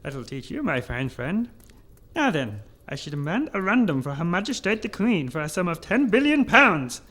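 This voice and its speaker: A man's voice: high voice, sing-song, moderate pace, a bit bright. A man playing a megalomaniac, short-tempered German baron villain with a pompous, ranting manner and a German accent in a comic radio sketch. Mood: scheming, pompous.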